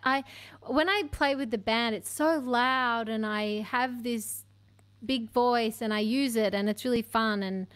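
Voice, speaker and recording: A woman's voice: a little high, unhurried, a bit bright, smooth. An Australian woman in her thirties, a singer chatting casually about her music from a home room full of bookshelves, laughing often. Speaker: singer-songwriter guest. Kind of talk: casual interview chat. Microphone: studio mic on stand, headphones on.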